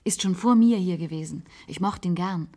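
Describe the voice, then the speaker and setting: An actress playing a wistful, resigned former secretary in a German science-fiction radio play, confiding in halting phrases. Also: female voice, on the low side, dark tone. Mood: reflective. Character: wistful former secretary, resigned patient living in an isolated institute.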